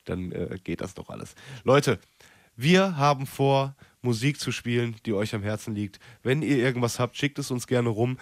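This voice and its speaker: Young man, radio host, casual joking banter, trailing mumbles, mid pitch, dry close sound. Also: expressive, normal speed, no echo.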